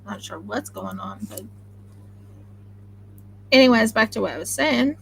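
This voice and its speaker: Chatty Oklahoma-accented woman with a gravelly edge. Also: on the high side, unhurried, a little hiss or hum, rough recording. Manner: chatty, rambling, excitable. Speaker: craft channel host. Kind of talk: casual live-stream chat while sewing.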